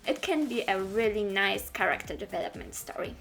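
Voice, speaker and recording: A woman's voice: medium pitch, expressive, a little fast, gravelly, a bit bright. A woman giving informal, scripted advice as a video creator, addressing the listener directly and with emphasis.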